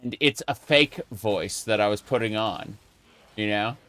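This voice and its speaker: A man's voice: lowish voice, measured pace, fairly bright tone. A man streaming a late-night gaming chat, rambling casually with lots of fillers and restarts. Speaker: streamer and video creator.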